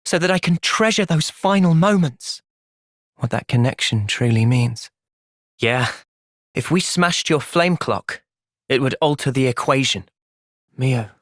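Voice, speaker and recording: A man's voice: medium pitch, expressive, measured pace, pauses often, a bit bright, dry recording, clean studio sound. A man playing an earnest, thoughtful young soldier hero with a British accent in a video game. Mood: gentle, reflective. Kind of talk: video game cutscene voice acting.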